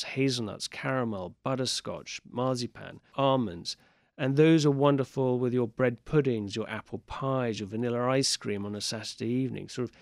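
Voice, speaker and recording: A man's voice: medium pitch, a little mellow, dry recording. A man who grew up in Portugal, talking as a guest in a radio studio interview, chatty and enthusiastic with frequent fillers. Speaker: port wine sales and export manager. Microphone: studio microphone.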